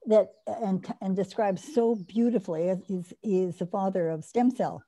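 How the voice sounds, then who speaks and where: A woman's voice: medium pitch, moderate pace, warm tone. An older woman hosting an online course on a video call, explaining eagerly and restarting her sentences often. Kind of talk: teaching and taking questions on a video call.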